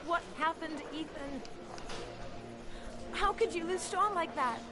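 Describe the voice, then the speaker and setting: A woman's voice: very high voice, slow, noisy background. An actress playing an anguished, reproachful mother in a video game drama, speaking with heavy emotion. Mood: accusing, anguished. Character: anguished, accusing mother of a missing boy. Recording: game music behind dialogue.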